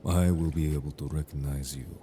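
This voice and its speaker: A male actor as a protective merfolk man with an extremely low, dark, mellow voice. He speaks in measured, formal phrases with calm assurance, like someone worldly and sure of himself, in an audio drama. There is some noise behind him. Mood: calm, reassuring. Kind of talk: audio roleplay drama acting.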